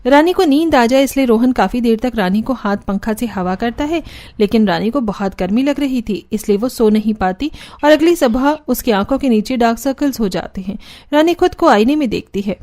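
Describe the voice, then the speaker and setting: High-voiced woman narrating a cartoon story. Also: lively intonation, normal speed, warm tone.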